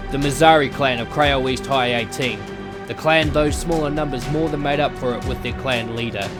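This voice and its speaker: Male narrator for a visual novel, mid-range pitch, scripted announcer-style read over prominent music. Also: moderate pace.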